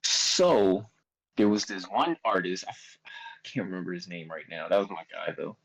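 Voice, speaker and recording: Slangy Philadelphia man, lowish voice. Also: moderate pace, gravelly, a bit bright, breathy edge, rough recording. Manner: loose, slangy, quotes himself a lot. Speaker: guest artist. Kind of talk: casual storytelling chat.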